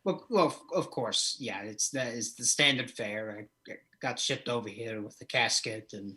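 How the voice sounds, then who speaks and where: A man's voice: mid-range voice, moderate pace, pushed and bright, close to an everyday voice. A man playing a flamboyant old vampire count from Eastern Europe as a comic horror host, rambling hesitantly through an interview in character. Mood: matter-of-fact, rambling.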